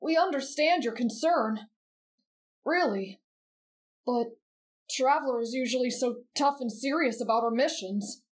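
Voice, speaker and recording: A woman voicing a gentle, polite, kind-hearted teenage boy in an anime roleplay, earnest and soft. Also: sits high, a little slow, pauses often. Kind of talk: anime fan roleplay audio drama.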